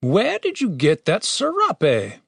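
An earnest young adventurer played by a male narrator in a fantasy audiobook. His voice is lifted high, questioning and polite with an exasperated edge, in a dry, close recording. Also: wildly swooping pitch, takes it slowly, gravelly, no room sound, exaggerated voice. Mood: incredulous.

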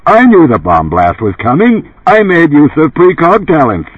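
Man acting a booming, brash TV pitchman, animated. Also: moderate pace, no room sound, narrow, tinny audio. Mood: impatient, brash. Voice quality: gravelly, dark, resonant. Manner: emphatic, salesmanlike, brash. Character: dead older tycoon as booming, blunt TV pitchman.

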